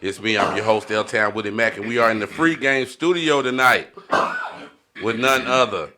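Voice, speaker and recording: A man's voice: fairly low pitch, moderate pace, background noise. A man with an American accent hosting a podcast in casual, slangy chat, leaning on repeated catchphrases. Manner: slangy, repeats "you know what I'm talking about". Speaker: podcast host. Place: podcast studio.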